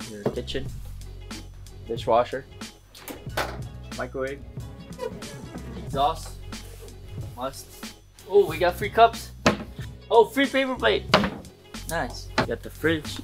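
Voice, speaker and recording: A man's voice: fairly high pitch, animated, slow, long pauses, over music. A young man in his twenties giving a casual, laid-back vlog tour of an empty basement suite, filmed on a handheld camera at arm's length. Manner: laid-back, chatty, talks to the viewers. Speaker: vlogger.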